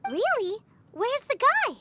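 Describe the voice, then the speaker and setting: Game fairy sidekick: tiny, piercingly high, unhurried delivery, childishly dramatic and excitable. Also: female voice, a little hiss or hum, full character voice. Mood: puzzled, impatient. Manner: exclamatory childish slang, dramatic exaggeration. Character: excitable childlike fairy girl companion, bubbly, boastful, impatient. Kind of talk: video game voice acting.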